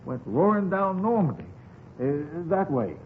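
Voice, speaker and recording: A man's voice: on the high side, very animated, unhurried, phone-quality sound. A man playing a folksy, talkative eyewitness in a 1950s radio drama, rambling along in colloquial phrases. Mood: excited. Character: folksy, talkative male eyewitness to a street shooting. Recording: scratchy, thin 1950s radio recording.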